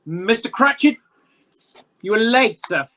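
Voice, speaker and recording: An actor playing a reformed old miser uncle in an amateur radio play, speaking in a theatrical, deliberate way over a home video call. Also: medium pitch, animated, sharp tone. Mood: mock stern.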